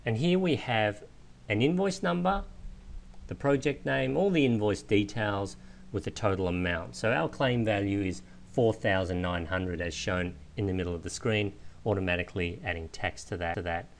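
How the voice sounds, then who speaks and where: Low-voiced man narrating a software tutorial. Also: moderate pace, gravelly, a little hiss or hum. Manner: explanatory, informal, step-by-step. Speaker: software instructor. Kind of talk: step-by-step screencast tutorial narration.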